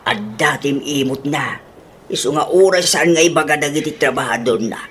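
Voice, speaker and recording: A woman's voice: low voice, normal speed, slight background noise, natural voice. A woman voicing an apologetic, henpecked older man who grumbles resignedly in a radio comedy drama. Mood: resigned, complaining.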